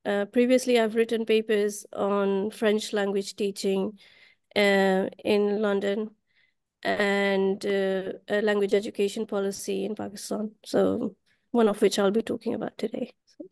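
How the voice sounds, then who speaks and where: A woman's voice: medium pitch, level tone, unhurried, creaky, a little mellow, smooth, no echo, clean studio sound. A woman introducing herself formally as a master's student on a panel.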